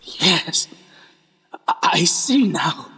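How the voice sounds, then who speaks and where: A man voicing a wrathful, scornful serpentine villain with slow theatrical delivery in a fantasy audio drama. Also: on the high side, very animated, bright, forceful tone, breathy edge, heavily acted. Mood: reverent, gloating.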